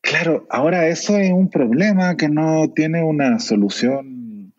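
A Chilean novelist in his forties with a Chilean Spanish accent, speaking thoughtfully, with many pauses and fillers. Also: fairly high pitch, darkish tone, no echo, muffled recording. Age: 40s. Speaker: novelist guest. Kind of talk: reflective conversation.

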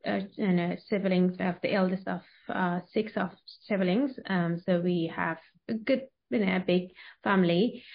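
Interview guest: young woman from Afghanistan, hesitant, many restarts, dull sound. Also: medium pitch. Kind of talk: interview answers.

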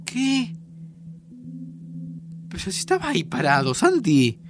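An anxious, dramatic time traveller from an audio drama, with an Argentine accent. His voice sits fairly high and swings widely in pitch. He takes his time, yet exclaims and stammers when flustered. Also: huge pitch swings, measured pace, noisy background, exaggerated voice. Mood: alarmed, confused. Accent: Argentine Rioplatense. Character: excitable, anxious time-travelling man, close friend of Santi.

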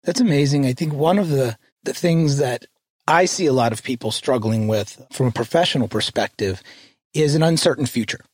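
A man hosting an interview, asking friendly, inquisitive questions at a measured pace. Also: medium pitch, darkish tone, husky, no echo. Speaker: interviewer host.

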